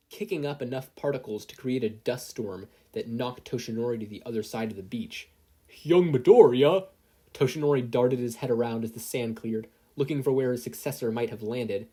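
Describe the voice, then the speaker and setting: A narrator reading a scripted fan fiction story in an expressive way. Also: medium pitch, husky.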